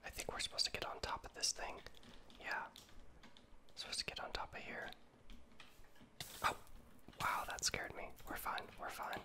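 A man's voice: moderate pace, pauses often. A man whispering gameplay commentary in an ASMR style, talking himself through tense moments and repeating words when he panics. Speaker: gaming video creator.

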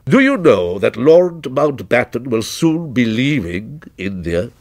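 A man playing a shrewd, courteous shop proprietor, swooping pitch. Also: no room sound, clean studio sound, exaggerated voice. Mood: soft, reverent. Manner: businesslike, flattering, deliberate salesmanship.

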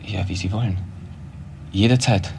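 A man's voice: low-pitched, moderate pace, gravelly, background noise. A man playing an impatient, questioning nephew of a patient in a crime drama, with a blunt manner. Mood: wary.

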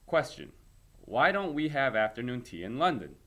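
Male teacher, medium-pitched voice, narrating a read-aloud lesson expressively. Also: sing-song, moderate pace, fairly bright tone. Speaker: teacher reading a story aloud.

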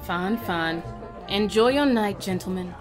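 A woman's voice: medium pitch, unhurried, over music, lightly acted. An actress playing a sassy, no-nonsense American woman running a Prohibition-era club in an audio drama. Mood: teasing.

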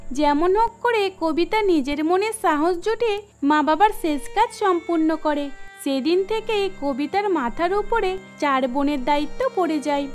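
A woman's voice: very high voice, darkish tone. A woman narrating a scripted cartoon story. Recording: music under the voice.

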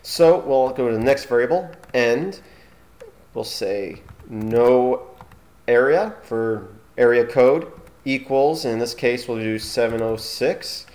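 Man giving an informal, halting tutorial. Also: medium pitch, a little mellow. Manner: halting, thinking aloud while demonstrating. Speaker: tutorial host.

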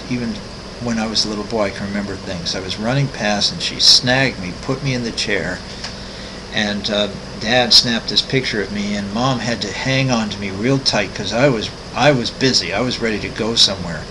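A man in his sixties, an artist walking through his website, talking casually with many ums, pauses and restarts. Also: lowish voice, background noise. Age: 60s. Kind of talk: casual screen-recorded walkthrough.

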